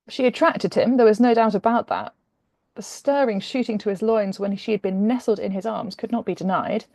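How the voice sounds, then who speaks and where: Expressive woman narrator, mellow and close. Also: fairly high pitch, gravelly, dry recording. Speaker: author reading from own novel. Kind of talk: expressive audiobook-style narration of a humorous romance.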